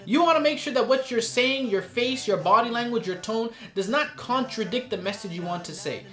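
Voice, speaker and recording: A man's voice: very high voice, brisk, a bit bright, roomy sound, soft background music. A man hosting a recorded course, explaining performance techniques in an earnest, conversational teaching manner with frequent asides. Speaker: course host and instructor.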